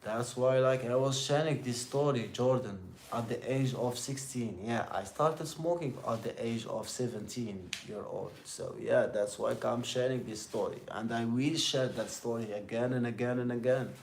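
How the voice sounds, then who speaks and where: Gravelly middle-aged Algerian man, rambling. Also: medium pitch. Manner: rambling, repetitive, halting second-language English. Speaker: livestream host. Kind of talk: casual motivational chat with live viewers. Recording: roomy echo with faint background noise.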